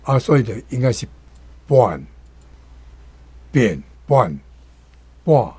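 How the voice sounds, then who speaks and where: Slow, mellow Taiwanese man explaining pronunciation. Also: medium pitch, big pitch swings, husky, no room sound. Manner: deliberate, explanatory. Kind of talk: reading aloud and explaining pronunciation.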